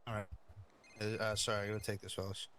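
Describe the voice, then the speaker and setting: A man playing a deferential, casual mafia underling in an improvised crime game, with hesitant, halting phrasing. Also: lowish voice, little pitch movement, fairly bright tone, slight background noise, close to an everyday voice. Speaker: roleplay player. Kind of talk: improvised in-character crime roleplay.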